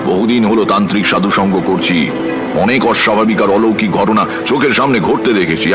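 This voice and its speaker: A man playing a tantric practitioner who narrates a horror audio story in a measured, suspenseful way. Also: deep voice. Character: male tantric practitioner recounting his eerie past rites.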